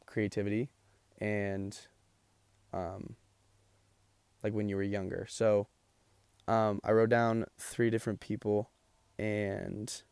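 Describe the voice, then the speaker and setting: A young man talks casually and loosely, tired and going hoarse from long recording sessions. His voice sits low with a gravelly fry, and he fills pauses with ums and likes. The sound is close and dry. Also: darkish tone, no room sound. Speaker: vlogger. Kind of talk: casual chat.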